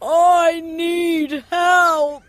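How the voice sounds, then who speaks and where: A woman voicing a panicked egg character in a children's storybook cartoon, crying out in drawn-out calls. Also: extremely high pitch, little pitch movement, voice pushed up, heavily acted. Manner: shouting, drawn-out cries. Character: panicked high-voiced egg character calling for help.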